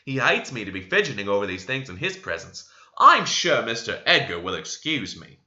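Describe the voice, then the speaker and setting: A man's voice: medium pitch, sing-song, moderate pace, pushed and bright, highs cut off. A man voicing an outspoken, dutiful housemaid with a blunt, protesting manner in a storybook reading.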